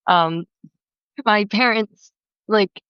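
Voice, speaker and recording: A woman from the United States, a guest in a casual chat, speaking in a relaxed, measured way. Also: medium pitch, clean tone, no room sound, lo-fi sound.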